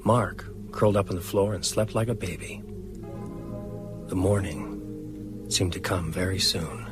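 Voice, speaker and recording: Grave, low-voiced hero. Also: male voice, a little slow, music in the background. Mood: sombre narration. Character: grave, determined man leading a vampire hunt. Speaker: actor playing lead and narrator. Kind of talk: radio drama acting and narration.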